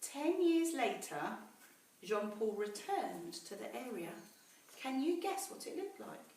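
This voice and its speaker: Teacher lady reading a kids story, really expressive up and down voice, stops to explain stuff, bit far from mic w faint noise. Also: fairly high pitch. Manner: teacherly, pausing to explain words and ask questions. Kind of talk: expressive storybook read-aloud with explanatory asides to children. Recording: roomy echo, distant mic, faint noise.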